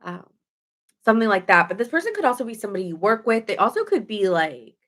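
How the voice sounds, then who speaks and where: A woman's voice: medium pitch, sing-song, fast, fairly bright tone. A woman doing a casual live tarot reading, chatty and rambling with fillers and self-corrections, her nose stuffy. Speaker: tarot reader.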